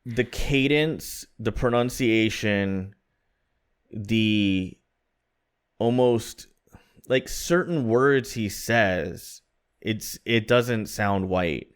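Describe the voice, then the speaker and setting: A man's voice: fairly low pitch, drawn-out words, clear, resonant tone. A man in his thirties from Florida, co-hosting a podcast in a rambling, self-deprecating casual chat. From Florida, USA. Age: 30s. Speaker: podcast co-host.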